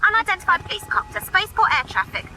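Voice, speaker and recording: Casting: officious female air traffic voice, extremely high, very sharp, clipped, faint vehicle noise. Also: very animated, racing, heavily acted. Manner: clipped announcements. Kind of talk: radio sci-fi comedy acting.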